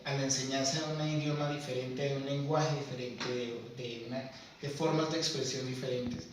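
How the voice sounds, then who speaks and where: A man's voice: mid-range voice, a little hiss or hum. Man moderating a panel in an echoey room. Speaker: discussion moderator. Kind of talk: academic panel discussion. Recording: echoey room, far from the mic.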